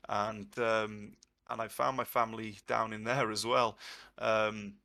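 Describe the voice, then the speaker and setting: A man's voice: fairly low pitch, flat delivery, unhurried, creaky, bright, forceful tone. A man in his thirties, a language tutor answering questions in a live session, long-winded and reflective. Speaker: Welsh tutor and guest. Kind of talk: live Q&A on a voice chat.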